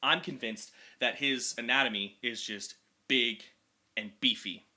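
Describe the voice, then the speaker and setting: This young man's voice is very bright and sits higher than most men's. He talks in an energetic, chatty way as a vocal coach, going into technical detail on how the voice works. His pitch is moderate for a man. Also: measured pace. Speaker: vocal coach reacting to music. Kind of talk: casual reaction and explanation.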